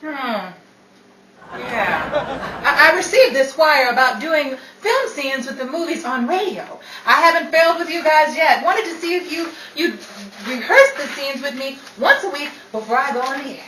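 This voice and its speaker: A woman plays an assertive 1930s Hollywood actress with a quick wit and a high voice. Her delivery is lively, in old-time radio style. She sounds set back from the mic in an echoing room. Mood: brisk, probing. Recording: roomy, distant, noisy live audience recording.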